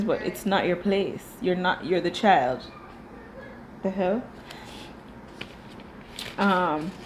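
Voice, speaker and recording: A woman's voice: mid-range voice, a little mellow, slight background noise, poor audio. A young Jamaican-accented woman, raised speaking Dutch, giving a blunt, chatty TV review full of swearing and tangents. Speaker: TV show reviewer. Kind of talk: casual reaction commentary.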